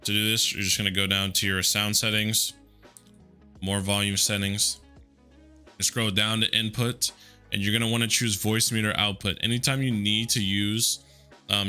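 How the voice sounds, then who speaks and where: A man with a deep voice walking through software settings in a relaxed, conversational way, with a headset mic. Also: smooth. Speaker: tutorial host. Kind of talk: casual screen-recorded software tutorial.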